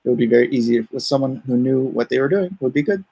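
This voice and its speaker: A man's voice: mid-range voice, expressive, racing, gravelly, warm tone, breathy edge, highs cut off. A man hosting a casual livestream, rambling and easygoing as he thinks aloud. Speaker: livestream host and comic writer.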